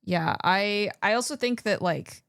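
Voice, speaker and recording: A woman's voice: medium pitch, a little slow, gravelly, a bit bright, dry recording, clean studio sound. A woman streamer hosting a casual, conversational interview chat.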